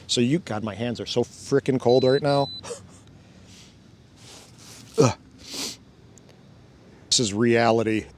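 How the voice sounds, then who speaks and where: A man's voice: mid-range voice, slow, long pauses, darkish tone, a little hiss or hum. Chatty man demoing gear outdoors. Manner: chatty, thinks aloud, laughs often. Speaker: drone channel host. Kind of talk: casual hands-on demo narration. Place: outdoors by a fountain, cold weather.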